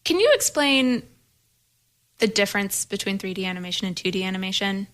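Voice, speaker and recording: A woman's voice: medium pitch, lively intonation, brisk, fairly bright tone. A woman on a panel, asking questions and giving opinions in a casual film discussion.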